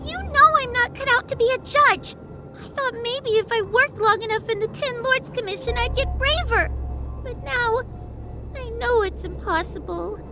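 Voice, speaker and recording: A woman's voice: extremely high pitch, moderate pace. A woman voicing a timid, tearful young girl in a video game, whiny and pleading. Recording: loud music behind the voice.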